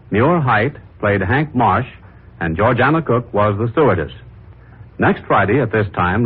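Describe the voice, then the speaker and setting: Casting: man, old-time radio announcer, rough-edged voice, theatrical formal read, tinny vintage sound. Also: medium pitch. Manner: formal, dramatic. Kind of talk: 1940s radio announcing, scripted. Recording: thin, band-limited vintage radio recording.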